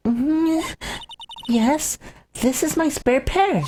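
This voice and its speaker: A woman's voice: high-pitched, extremely slow, mellow, a little hiss or hum. A woman voicing an earnest, scrappy young policewoman in a video game, emphatic and stumbling over words. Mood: defensive. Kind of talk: voiced video game playthrough. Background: video game music.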